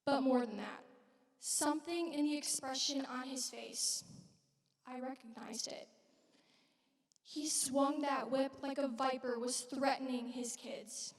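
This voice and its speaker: A woman playing a widowed young mother from biblical Galilee in a dramatic church monologue, speaking earnestly and haltingly with weighted pauses. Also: high voice, steady tone. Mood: intense. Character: widowed young Galilean mother, wounded, reverent witness of Jesus. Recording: echoey hall, distant microphone.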